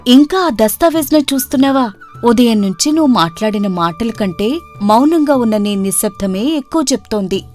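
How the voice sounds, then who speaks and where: A woman voicing a loyal, encouraging young village woman in a moral story, with an animated questioning manner. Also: fairly high pitch, moderate pace, close to an everyday voice. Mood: supportive. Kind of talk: acted dialogue in a moral story. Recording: music bed under the voice.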